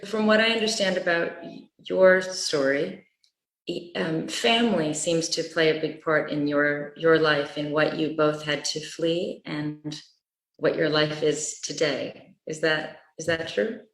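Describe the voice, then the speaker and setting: Woman moderator, lowish voice, stop-start questions, roomy echo. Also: steady tone, a bit bright. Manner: halting questions with restarts. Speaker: interview moderator. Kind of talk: moderating a panel interview. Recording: echoey room.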